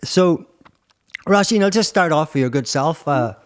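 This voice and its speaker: A man's voice: on the high side, lively intonation, moderate pace. A man with a Northern Irish accent hosting a casual interview, rambling and hesitant, with a bantering way about him. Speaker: podcast host.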